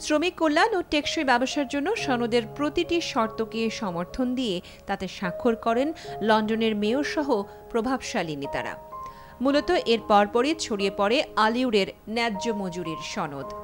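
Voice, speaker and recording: A woman's voice: fairly high pitch, animated, moderate pace. A woman with a Bangladeshi accent reading a scripted documentary narration over music. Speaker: programme narrator. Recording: clear music bed behind voice. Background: background music.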